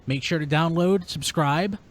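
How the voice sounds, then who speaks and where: Man, podcast host, slightly high voice, chatty, informal delivery. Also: a little slow. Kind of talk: casual podcast talk, sign-off plugs.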